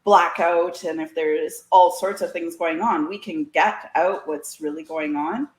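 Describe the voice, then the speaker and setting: A woman's voice: fairly low pitch, measured pace, roomy sound. A woman with a Canadian accent, chatting informally as a guest in a casual conversation. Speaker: guest, CB radio community organiser. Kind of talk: casual chat.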